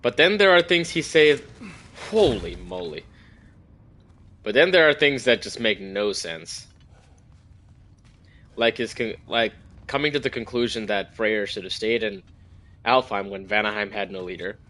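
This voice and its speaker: A man's voice: lowish voice, expressive, measured pace, pauses often, fairly bright tone. A man giving casual live commentary as he plays a video game, musing aloud and correcting himself. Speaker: streamer. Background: faint game ambience.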